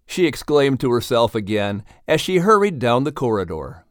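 A man's voice: mid-range voice, sing-song, normal speed, no echo, clean studio sound. A man reading a children's story aloud as a scripted audiobook narrator.

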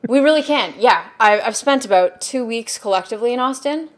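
A woman's voice: fairly high pitch, expressive, brisk. A woman hosting a business podcast, chatty and upbeat, rambling a little with frequent ums. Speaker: podcast host. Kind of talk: casual podcast interview.

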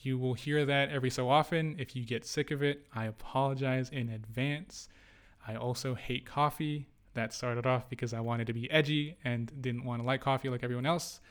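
A man's voice: mid-range voice, steady tone, moderate pace, clear, resonant tone. A young adult man co-hosting a casual podcast, talking in a playful, joking way. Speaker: podcast co-host. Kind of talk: casual chat.

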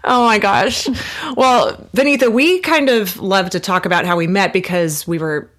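A woman's voice: mid-range voice, moderate pace. Affectionate podcast host woman. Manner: warm, affectionate, laughs easily. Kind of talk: casual podcast interview.

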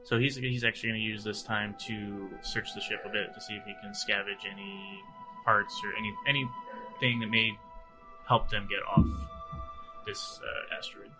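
A man's voice: fairly low pitch, fairly even intonation, normal speed, low-quality recording. A man talking casually as a player in a tabletop roleplaying game, describing what his character does. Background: faint music.

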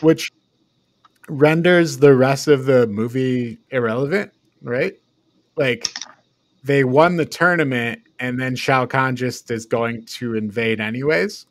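Man casually recapping a movie, halting delivery. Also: mid-range voice. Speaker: podcast host.

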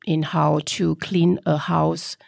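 A woman in her fifties from Singapore, speaking as a podcast guest. Her voice is low and heavily gravelly, and she talks slowly with plenty of halting restarts. The sound is close and dry. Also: level tone, darkish tone, no echo. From Malaysia, raised in Singapore. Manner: halting, many fillers and restarts. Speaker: guest, business founder. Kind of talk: casual interview.

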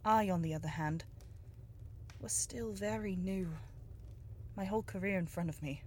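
An actress playing a determined, businesslike younger private detective in a noir audio drama, cool, persuasive and pointed. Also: female voice, mid-range voice, normal speed, darkish tone, a little hiss or hum, natural voice. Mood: bitter.

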